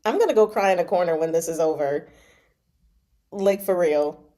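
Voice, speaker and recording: A woman hosting a read-along, reading a novel aloud and reacting in an informal, expressive, slangy way. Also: lowish voice, moderate pace. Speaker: host of a live book read-along.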